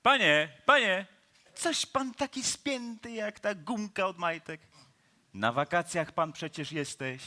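A man acting a cheeky Parisian man about town in an operetta radio play. His voice is bright and swings widely in pitch as he teases in folksy, colloquial phrases. He is easygoing and theatrical, stretching out his words. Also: expressive, heavily acted. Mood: breezy advice. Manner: colloquial, teasing, chuckling, drawn-out theatrical phrasing.

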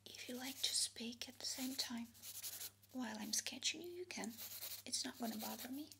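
A woman in her twenties whispering gentle instructions in an ASMR personal-attention role-play, close to the microphone. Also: fairly high pitch, creaky, background noise. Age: 20s. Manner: soft, slow, gentle instructions with pauses. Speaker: ASMR creator, sketch artist role-play. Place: indoor studio with plain dark backdrop.

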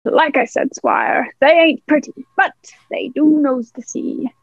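A woman's voice: high voice, a little slow, no echo, muffled recording. A woman playing a sly, hearty pirate ship's cook in a radio play, cajoling in salty pirate dialect. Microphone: own device, remote recording.

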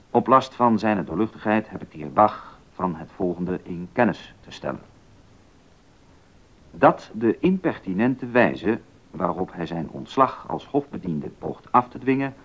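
An actor playing a stern, officious court secretary in a radio docudrama, solemnly declaiming a formal proclamation. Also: male voice, low voice, breathy edge, full character voice. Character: stern, pompous male court secretary reading an arrest order. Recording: dull, muffled lo-fi recording.

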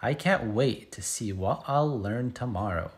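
Man reading as an excited schoolgirl, slow and expressive. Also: mid-range voice, close to an everyday voice. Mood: eager, upbeat. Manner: expressive, emphatic sound-effect words. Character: excited little schoolgirl telling her first day. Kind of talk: picture book read-aloud.

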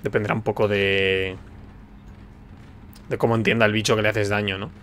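Bright-voiced Spanish male game streamer. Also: lowish voice, little pitch movement, moderate pace, a little hiss or hum. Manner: muses aloud, informal. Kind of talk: casual gameplay commentary. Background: video game sound.

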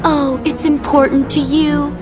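A woman's voice: sits high, level tone, measured pace, in a large hall, over music. An actress voicing a spiteful young female villain who mocks and taunts. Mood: taunting. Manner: taunting, mocking, sarcastic.

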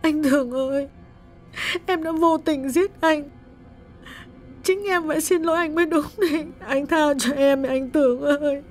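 A man voicing a grieving young widow, pleading through tears in a high falsetto. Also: measured pace, soft background music. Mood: sobbing, pleading. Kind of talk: audiobook narration.

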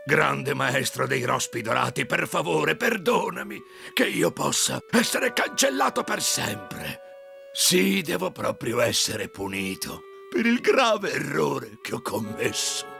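Cartoon toad gatekeeper: greedy, bombastic showman, creaky voice leaping all over the scale. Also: medium pitch, big pitch swings, slow, pushed and bright, exaggerated voice. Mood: despairing, pleading. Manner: bombastic showman with wild swings from gushing to scolding. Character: theatrical, pompous, greedy male toad, monk guardian of an amusement park. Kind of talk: animated film dub. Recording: music under the voice.

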